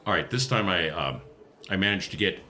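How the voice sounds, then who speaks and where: A man's voice: low voice, lively intonation, a little fast, pushed and bright. A man chatting casually as a player in a tabletop role-playing game.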